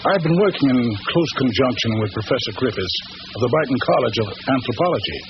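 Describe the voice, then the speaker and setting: A man playing a courteous, earnest gentleman scholar with formal, measured phrasing in 1940s radio drama style. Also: mid-range voice, animated, close to an everyday voice. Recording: muffled, noisy old radio recording.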